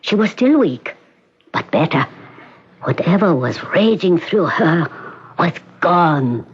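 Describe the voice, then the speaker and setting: An actress playing a doctor, speaking in a formal, measured, clipped way. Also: female voice, on the low side, lively intonation, husky, highs cut off, heavily acted.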